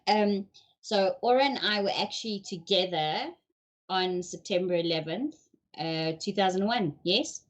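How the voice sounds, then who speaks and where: A woman's voice: mid-range voice, a little slow. A woman hosting a casual online interview, asking questions with small apologetic asides over a video call. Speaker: host.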